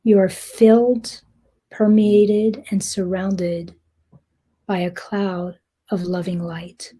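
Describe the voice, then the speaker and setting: A woman guiding a meditation in a soft, breathy, gentle voice, speaking deliberately with long pauses. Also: mid-range voice, flat delivery. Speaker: meditation guide. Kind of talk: guided meditation, slow soothing narration.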